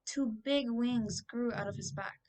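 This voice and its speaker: A woman's voice: on the high side, a little slow, darkish tone, recorded from a distance. A twelve-year-old girl reading a children's storybook aloud as scripted narration. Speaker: book reader.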